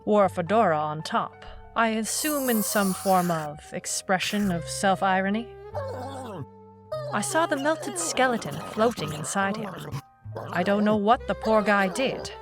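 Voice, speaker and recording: Actress doing a tough lady noir detective, wry and tired, slow delivery, music underneath. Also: mid-range voice, expressive, lightly acted. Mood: wry, world-weary. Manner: wry, world-weary. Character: wry, tough, amnesiac female private eye. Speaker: lead narrator. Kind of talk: hardboiled noir audio drama narration.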